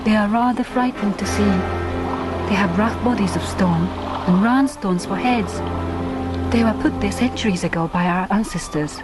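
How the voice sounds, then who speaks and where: An actress playing a proud, frank young Japanese island diver in a radio drama, with direct, formal phrasing. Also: female voice, medium pitch, normal speed, over loud music, lightly acted. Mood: solemn.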